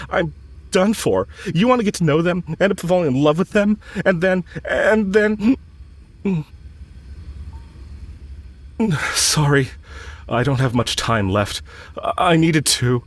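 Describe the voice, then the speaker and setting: A man playing a lovestruck villain in an intimate audio roleplay, speaking with earnest, halting hesitation and yearning. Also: a little high. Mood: desperate, anxious. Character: lovestruck male villain confessing to his enemy, yearning.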